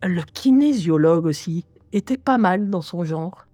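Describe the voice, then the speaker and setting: A woman's voice: fairly low pitch, animated, unhurried, mellow, no room sound. An actress playing a wry young woman who hears voices, reading her diary in a radio drama with ironic, confiding asides. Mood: wry reminiscing. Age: young adult. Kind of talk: radio drama first-person monologue.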